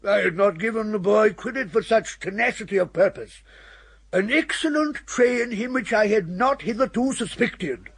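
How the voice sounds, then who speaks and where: An actor playing a fussy, pompous old English lord with an upper-class accent and a ponderous, formal manner in a radio comedy. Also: male voice, sits high, moderate pace, a bit bright, husky, exaggerated voice. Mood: approving. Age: older. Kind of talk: radio comedy drama acting.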